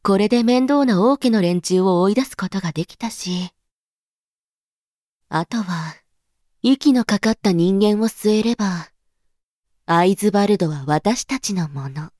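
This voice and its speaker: A woman's voice: mid-range voice, a little slow, long pauses, warm tone, no echo, studio quality, close to an everyday voice. A woman playing a scheming, amused member of the elite in a voice drama, speaking in a haughty, teasing, knowing manner. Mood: smug, scheming. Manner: teasing, haughty, feminine speech with knowing asides. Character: scheming, amused elite woman who secretly engineered a revolution. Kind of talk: voice drama monologue.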